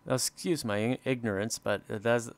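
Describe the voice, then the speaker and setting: A man's voice: medium pitch, normal speed, dry recording. A man hosting a hobby livestream, chatting casually as he works and replies to viewers. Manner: chuckles often, reads and answers chat comments. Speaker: livestream host doing scale modelling. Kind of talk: casual live chat while working.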